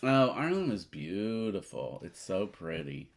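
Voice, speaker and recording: Animated, creaky low-voiced man. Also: measured pace. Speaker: board game playthrough co-host. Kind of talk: casual chat.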